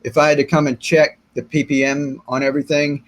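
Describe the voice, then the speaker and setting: Slightly raspy middle-aged man chatting live. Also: a little high, brisk. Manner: rambling, relaxed, many restarts and fillers, gestures with hands. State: slightly raspy from long talking. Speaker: host of a gardening livestream Q&A. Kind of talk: casual live-stream chat, reading and answering viewer comments. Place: small indoor room with shelves of plants.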